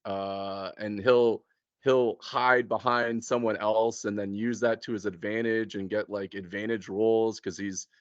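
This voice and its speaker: A man in a casual, informal chat, thinking aloud in halting phrases. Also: lowish voice, little pitch movement, no echo, muffled recording.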